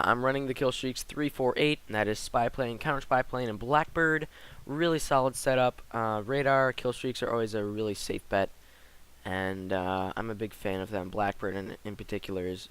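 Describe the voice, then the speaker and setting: A teenage boy giving casual commentary over gameplay, slightly hoarse from a cold, rambling with lots of fillers. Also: on the low side, steady tone, normal speed, a bit bright. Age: teens. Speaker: gaming commentator.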